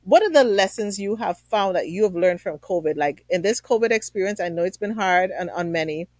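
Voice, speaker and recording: A woman's voice: mid-range voice, expressive, a little fast, no room sound. Effusive woman hosting, close dry sound. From Trinidad. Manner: effusive, affirming. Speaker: talk show host. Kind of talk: conversational online interview.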